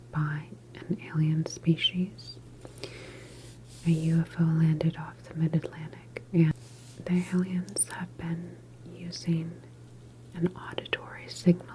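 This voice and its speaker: A woman whispering in a breathy, calm and reassuring clinical manner, as an ASMR doctor roleplay. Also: deep voice, slight background noise, low-quality recording. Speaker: ASMR performer playing a doctor in a medical roleplay.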